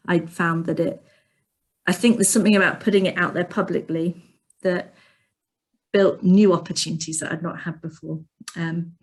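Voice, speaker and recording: A woman hosting a webinar on a video call, encouraging her guests and speaking with many fillers. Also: on the low side. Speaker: webinar host.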